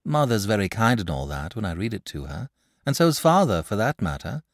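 A man's voice: lowish voice, very animated, brisk, no echo, clean studio sound. A man voicing an earnest, sensible young boy who talks in a fretful, lecturing way.

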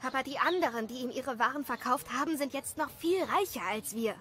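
An actress playing a vain, easily flattered village wife in an audio story. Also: female voice, high voice, a little fast, slight background noise. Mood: dismissive.

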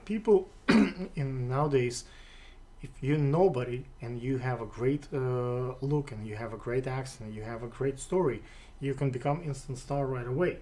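Halting Russian-accented male interviewer. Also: medium pitch, a little mellow. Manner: halting, many "uh" fillers and restarts. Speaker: interview host. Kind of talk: casual interview questions. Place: studio with city backdrop, seated on sofa.

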